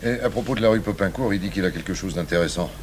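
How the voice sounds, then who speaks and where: An actor playing an eager, deferential police inspector in a 1980s French radio drama. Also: male voice, deep voice, fast talker, lightly acted. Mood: matter-of-fact. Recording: noisy old radio broadcast recording.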